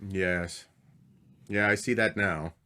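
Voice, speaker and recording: A man playing an anxious, desperate husband in an old-time radio mystery, agitated and pleading, with halting delivery. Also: low voice, steady tone, sharp tone, clear, resonant tone, heavily acted. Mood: subdued.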